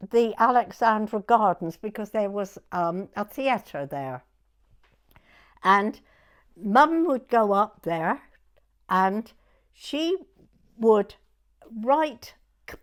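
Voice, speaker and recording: A woman in her nineties with a southern English accent, reminiscing in an interview in a halting way, pausing to recollect. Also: fairly high pitch. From southern England. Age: 90s. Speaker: oral history interviewee.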